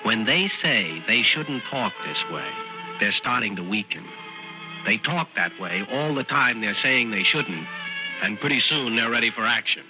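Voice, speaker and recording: An actor playing a sly, calculating young American man who puts on boyish grief, talking in a folksy, halting, insinuating way in a radio drama. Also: mid-range voice, expressive, natural voice. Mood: sly, confiding. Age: young adult. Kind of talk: mid-century radio drama acting, dialogue and first-person narration. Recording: dull vintage radio recording, music underneath.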